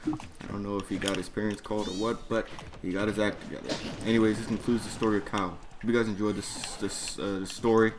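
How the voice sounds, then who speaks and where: A man's voice: on the low side, little pitch movement, fast talker. A man telling a personal story in an emphatic, exasperated way, with plenty of swearing and slang. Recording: clearly noisy background.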